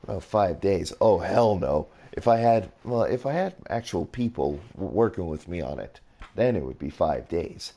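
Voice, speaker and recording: A young man casually rambling through a game tour as a streamer, his voice a little raspy, often reacting with surprise. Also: sits low, animated, normal speed, mellow. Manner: rambling, easily impressed, mild swearing. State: slightly raspy voice. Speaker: gaming streamer. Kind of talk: casual game commentary while touring builds.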